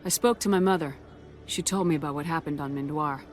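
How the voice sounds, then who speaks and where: An actress playing a calm, firm, direct female starship commander in a video game. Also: deep voice, fairly quick, close to an everyday voice. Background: game music.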